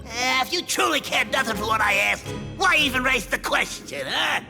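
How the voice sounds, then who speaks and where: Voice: sits high, lively intonation, normal speed, creaky, long reverb tail, music in the background. An actor voicing an eccentric, boastful little gang boss creature in a video game, chatty and theatrical, chuckling and wheedling. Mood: shrewd. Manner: theatrical, chatty, punctuated with 'eh' and 'huh'.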